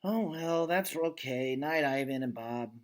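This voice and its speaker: A man's voice: mid-range voice, measured pace, close to an everyday voice. A man voicing a sad, innocent young female elephant who pleads in a childlike, plaintive way, in a storybook reading. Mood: resigned.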